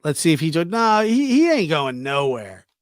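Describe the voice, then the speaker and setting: Male livestream host, slightly higher voice, informal teasing chat delivery with repetition, very dry recording. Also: very animated, normal speed, no room sound. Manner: informal, teasing, repeats himself. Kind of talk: casual live chat commentary, reading and answering viewer comments.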